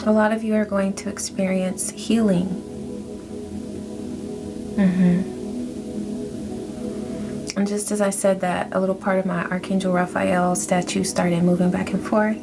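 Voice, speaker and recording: A woman's voice: mid-range voice, little pitch movement. Soothing woman giving a tarot reading. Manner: soft, affirming, drawn-out phrasing. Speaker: tarot reader. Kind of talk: spiritual tarot reading to camera. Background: background music.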